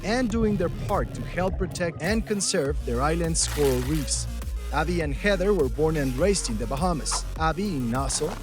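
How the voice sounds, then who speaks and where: A man's voice: fairly high pitch, animated, moderate pace. Man narrating news, music behind. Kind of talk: scripted news narration for young viewers.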